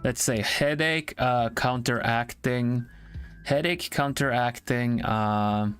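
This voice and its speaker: Man, mid-pitched, casual streamer chat, halting with restarts, light music behind. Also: fairly bright tone. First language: Swedish. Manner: halting, repeats words while searching for them.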